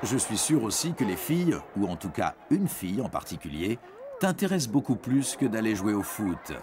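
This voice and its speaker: A man's voice: lowish voice, very animated, normal speed, very noisy. A man narrates an educational cartoon script playfully, speaking directly to a young listener. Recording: prominent music behind narration. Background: music.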